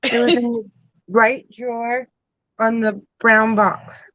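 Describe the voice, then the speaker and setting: A woman's voice: on the high side, flat delivery, takes it slowly, bright, forceful tone, dry recording, rough recording. A woman co-hosting a casual livestream, easygoing, giving short replies. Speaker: livestream co-host.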